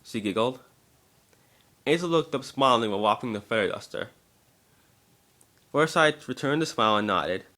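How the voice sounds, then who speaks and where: A man's voice: medium pitch, lively intonation, normal speed, pauses often, bright, forceful tone. A man narrating a scripted story as an amateur dramatic reading, now and then stumbling over words.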